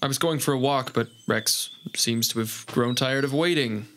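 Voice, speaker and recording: Man acting wise older countryman, radio play, gentle, soft chuckle, calm. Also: medium pitch, moderate pace, lightly acted.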